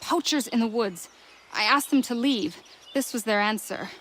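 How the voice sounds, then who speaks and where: Woman acting a high-voiced, guarded frontier huntress. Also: normal speed, fairly bright tone, a little hiss or hum, lightly acted. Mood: pained, curt. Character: proud, self-reliant frontier huntress, guarded. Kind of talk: dramatic video game acting.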